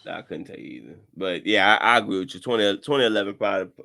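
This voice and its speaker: Low-voiced man steering a sports chat. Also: fast talker, creaky. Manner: relaxed, repeats words, steers the topics. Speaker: podcast co-host. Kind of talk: casual sports debate chat.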